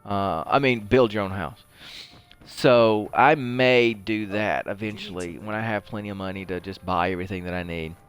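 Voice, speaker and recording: Deep-voiced man rambling through gameplay commentary. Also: fairly even intonation, moderate pace, clear, resonant tone. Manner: rambling, conversational, thinking aloud. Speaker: host of a video game playthrough show.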